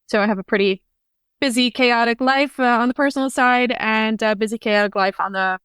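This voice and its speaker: A woman's voice: fairly high pitch, fairly quick, fairly bright tone, clean tone, no room sound, studio quality. An American woman, around her thirties, answering interview questions about her marketing work in a thoughtful, explaining way. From United States. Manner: thoughtful, explanatory, uses "like" and "if you will". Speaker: guest, head of product marketing.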